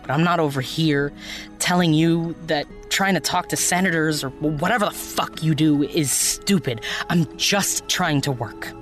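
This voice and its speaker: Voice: medium pitch, measured pace, a bit bright, lightly acted. Impassioned, hurt young activist played by an actor, music behind. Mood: impassioned, pleading. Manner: impassioned, pleading, casually sweary. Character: earnest, hurt young activist who runs a protest blog. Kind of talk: naturalistic audio drama acting.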